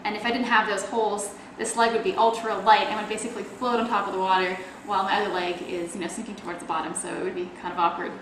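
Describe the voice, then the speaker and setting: A woman's voice: mid-range voice, flat delivery, fast talker, hardly any pauses, fairly bright tone, roomy sound, slight background noise, rough recording. A young woman with a Canadian accent, chatting casually in a video blog and explaining things with plenty of fillers. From Toronto, Canada. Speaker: athlete video blogger.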